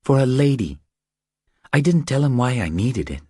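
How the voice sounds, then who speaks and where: An anxious woman in an audiobook , acted out by a man, speaks in a breathy, thin voice that swings widely in pitch. She sounds frightened and urgent, pleading and questioning, in a dry, close recording. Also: very animated, moderate pace, a little mellow, no room sound. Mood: uneasy, pleading. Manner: short urgent questions and pleas. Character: anxious, frightened adult woman seeking protection. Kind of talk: audiobook character dialogue.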